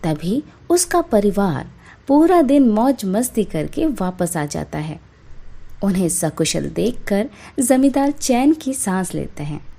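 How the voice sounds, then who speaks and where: A woman narrates a scripted moral story for children. Her delivery is slow, with a dark, relaxed tone and a medium pitch for a woman. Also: gravelly, husky.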